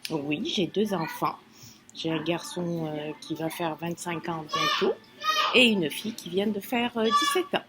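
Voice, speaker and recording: An earnest woman, her voice sitting on the low side. She answers questions thoughtfully and pauses often with fillers as she searches for her words. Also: big pitch swings. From Algeria. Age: 50s. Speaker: interviewee. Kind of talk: casual interview answers.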